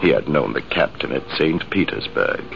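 An actor playing a male army major who tells the story in a reflective, measured way, in 1950s radio drama style. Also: a bass voice, lively intonation, gravelly, full character voice. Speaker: narrator. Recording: hissy, muffled old radio broadcast.

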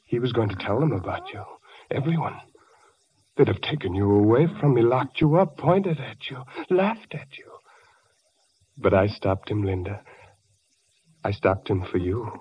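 An actor playing an obsessed, unravelling husband, swinging from hushed pleading to frantic outbursts, in a 1940s radio drama. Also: male voice, lowish voice, sing-song, moderate pace, long pauses. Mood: hushed obsessive. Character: obsessed, unravelling husband, middle-aged man. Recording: dull old radio broadcast.

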